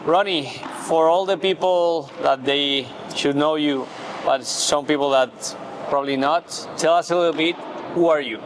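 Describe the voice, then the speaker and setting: A man hosting a casual interview, asking questions in halting, non-native phrasing. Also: on the high side. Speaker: interviewer, host. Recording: clear steady background noise.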